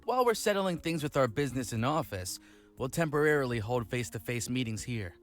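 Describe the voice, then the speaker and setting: A man's voice: mid-range voice, animated, a little fast, faint music behind, natural voice. A man playing an earnest, encouraging young startup leader in a visual novel. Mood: formal, grateful. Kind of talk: visual novel dialogue acting.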